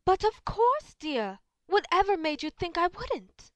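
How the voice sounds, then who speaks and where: A refined lady unicorn acted by a woman, high in voice and full of genteel drama. She is doting and theatrical, as in a fan audio play, recorded very dry. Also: sing-song, a little slow, no echo, exaggerated voice. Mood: affectionate, haughty. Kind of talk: amateur audio drama acting.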